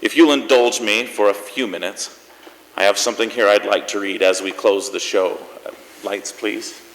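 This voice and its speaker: A man's voice: on the low side, normal speed, a bit bright. Man acting as genial show host in an echoey hall. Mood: warm, sincere. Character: genial, sentimental male host of a Christmas variety show. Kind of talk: stage hosting and scripted reading. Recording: echoey hall with faint noise.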